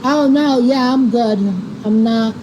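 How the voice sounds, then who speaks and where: A woman's voice: steady tone, takes it slowly. A woman in casual gaming voice chat, bantering in a teasing, mildly sweary way. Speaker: player in a group bus-driving game. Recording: clearly noisy voice chat, vehicle rumble.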